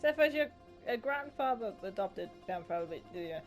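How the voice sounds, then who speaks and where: A woman's voice: medium pitch, animated, moderate pace. A woman reacting casually to a game as she plays, blunt, sweary and sarcastic. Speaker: gaming video host. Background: game music.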